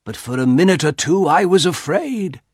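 A man reading a story aloud and voicing a quiet, cautious old father. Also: fairly high pitch, animated, breathy edge, dry recording, clean studio sound, voice pushed up. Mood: hesitant. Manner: slow, hesitant and quiet.

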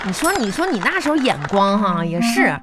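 An actress with a Northeastern Mandarin accent playing a teasing, exasperated best friend in a live radio comedy skit. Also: female voice, mid-range voice, very animated, fairly quick, a loud crowd, lightly acted. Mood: teasing, scolding. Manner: scolding, folksy, teasing. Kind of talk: live broadcast comedy skit, colloquial banter. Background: music.

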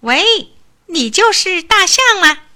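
A woman voicing an arrogant, hot-tempered little male mouse who squeaks and shouts in a storybook. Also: big pitch swings, unhurried, full character voice. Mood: threatening.

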